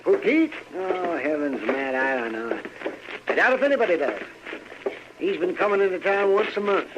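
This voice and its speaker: An actor playing a grumbling, crotchety older American town doctor in an old-time radio western. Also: male voice, high-pitched, big pitch swings, normal speed. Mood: matter-of-fact. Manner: grumbling, querulous. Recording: noisy, dull vintage radio recording.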